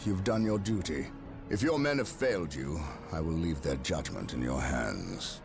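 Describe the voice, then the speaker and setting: A commanding space marine captain from a video game, gravelly and formal. His delivery swings widely in pitch and carries stern authority, with music playing behind. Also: big pitch swings, moderate pace, exaggerated voice. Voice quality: deep, gravelly. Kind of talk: dramatic video game voice acting.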